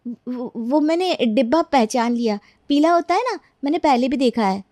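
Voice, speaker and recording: Woman playing a meek, high-voiced young housemaid. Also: expressive, moderate pace, darkish tone, dry recording. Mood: timid, defensive. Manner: deferential, timid, hesitant. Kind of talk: Hindi cartoon story character dialogue.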